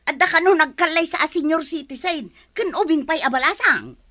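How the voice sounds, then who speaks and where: A woman's voice: high-pitched, moderate pace, pushed and bright, dry recording, clean studio sound. A woman playing a giggly, nervous household helper in a radio comedy drama, with a Filipino Ilocano accent. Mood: nervous, amused. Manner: hesitant and giggly, exclaiming.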